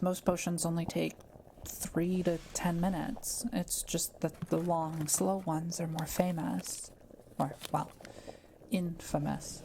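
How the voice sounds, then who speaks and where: Kind forest witch for audio roleplay, woman performer: soft-spoken, patient explainer, very mellow with a gravelly creak. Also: on the low side, a little hiss or hum, rough recording. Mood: patient, explanatory. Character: kind, quiet-living forest witch brewing potions.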